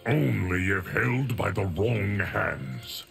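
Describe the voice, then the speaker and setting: Casting: stoic timeless guardian, very low and slow, grave formal game dialogue, faint music behind. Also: male voice, big pitch swings, vocal fry, a bit bright, full character voice. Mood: solemn. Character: solemn, stoic ageless male guardian of time. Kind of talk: video game voice acting.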